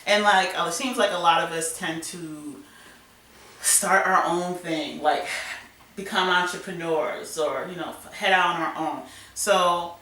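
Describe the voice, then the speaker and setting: A woman's voice: fairly low pitch, pushed and bright. An American woman hosting a casual interview, chatty and upbeat. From United States. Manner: chatty, upbeat, quick to laugh. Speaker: interview host. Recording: echoey room, distant mic, faint noise.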